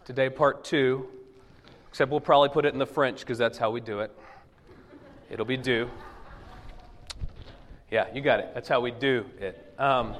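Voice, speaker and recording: A man preaching a chatty, joking sermon to his congregation while sick with a cold, his voice husky and lowered. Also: fairly even intonation, normal speed, pauses often. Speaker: pastor. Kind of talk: conversational sermon. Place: church sanctuary. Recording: long hall reverb.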